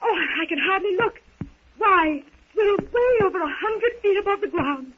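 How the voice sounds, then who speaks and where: A woman's voice: very high voice, animated, normal speed. An actress playing an anxious, genteel young woman in 1930s radio melodrama, fretful and theatrical, with hesitant repetitions. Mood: nervous. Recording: noisy, tinny 1930s radio recording.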